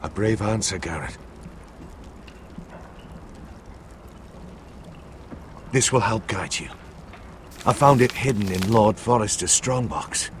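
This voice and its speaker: An actor playing a grave, devoted older uncle in a medieval fantasy game, speaking in a solemn, formal, measured way. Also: male voice, lowish voice, a little hiss or hum, lightly acted. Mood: grave, urging. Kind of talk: dramatic fantasy game acting.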